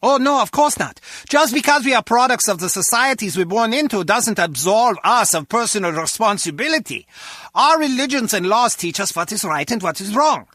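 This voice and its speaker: A man's voice: sits high, animated, moderate pace, sharp tone, dry recording, heavily acted. An actor playing an earnest, pedantic German henchman in a video game, with a German accent. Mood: earnest lecturing. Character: philosophical German henchman guard, earnest and excitable. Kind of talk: video game character dialogue.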